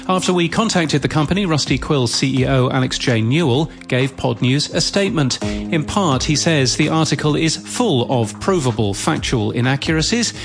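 Male podcast news host, mid-pitched, scripted bulletin read over clear music bed.

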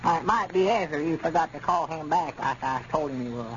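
An actor playing a fussy, literal-minded country storekeeper with a rural Arkansas accent in a 1930s radio comedy. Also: male voice, a little high, brisk, close to an everyday voice. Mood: matter-of-fact. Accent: Southern US, rural Arkansas. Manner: folksy, querulous, literal-minded. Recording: scratchy, muffled 1930s radio recording.

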